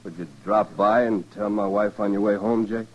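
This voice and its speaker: Muffled vintage radio sound carries a low-voiced American cowboy, played by a male actor. He is a condemned man, stoic and resigned, with terse rural phrasing in a 1950s western drama style. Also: fairly even intonation, normal speed, lightly acted. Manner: terse, plain rural speech. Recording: muffled old radio recording.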